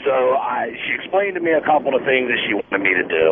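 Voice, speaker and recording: A man's voice: mid-range voice, big pitch swings, quick pace. A man phoning in to a talk show, telling a rambling, profane story in a casual, long-winded way. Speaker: phone-in caller. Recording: noisy, tinny phone line.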